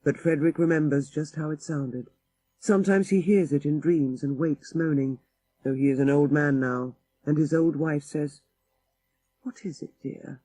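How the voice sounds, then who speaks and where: A man's voice: fairly high pitch, steady tone, normal speed, warm tone, no room sound. A man narrating a literary ghost story for an audiobook in a dramatic style.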